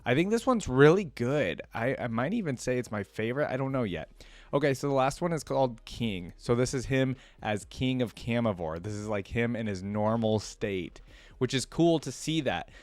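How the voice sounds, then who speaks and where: A man's voice: mid-range voice, lively intonation, moderate pace, no echo. A man giving casual reaction commentary as a video host, rambling and thinking aloud.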